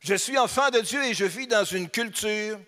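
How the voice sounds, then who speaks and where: A man's voice: sits high, normal speed, pushed and bright. A man with a Québécois accent preaching a sermon in a church, conversational and humorous, asking the congregation questions. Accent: Québécois French. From Quebec, Beauce region. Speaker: pastor. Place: church hall. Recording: long hall reverb.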